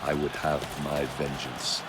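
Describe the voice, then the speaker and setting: Grim, very deep Roman centurion. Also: male voice, level tone, a little slow, heavy background noise. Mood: grim resolve. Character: vengeful male Roman centurion narrating his story. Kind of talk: dramatic scripted game narration.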